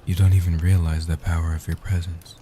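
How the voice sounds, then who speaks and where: A low, soft-spoken immortal vampire guardian, played by a man, in a comfort roleplay audio. He is tender and devoted, speaking slowly and deliberately in a very even, hushed tone. The voice is very dark and warm. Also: slight background noise, natural voice. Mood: intimate, grateful. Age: young adult. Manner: tender, reassuring, slow and deliberate. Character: gentle, devoted centuries-old male vampire guardian.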